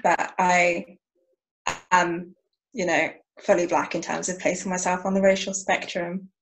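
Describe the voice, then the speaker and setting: A young woman with a slightly low, quite flat voice. She talks informally as a panel guest, rambling a little as she goes. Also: a little slow, poor audio. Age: 20s. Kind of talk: casual self-introduction.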